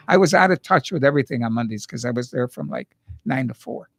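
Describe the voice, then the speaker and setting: Chatty older man, gravelly, husky. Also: medium pitch, expressive, a little fast, a bit bright, no echo, studio quality. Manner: chatty, folksy, jokey. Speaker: radio talk show host. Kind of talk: casual radio interview. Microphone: studio microphone.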